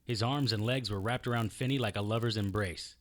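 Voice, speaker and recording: An actor playing a wry, unflappable private investigator in an audio drama, narrating and talking in a deadpan, sardonic way. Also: male voice, lowish voice, fairly quick, no room sound, clean studio sound, lightly acted. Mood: grim narration. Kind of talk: hard-boiled detective audio drama acting with first-person narration.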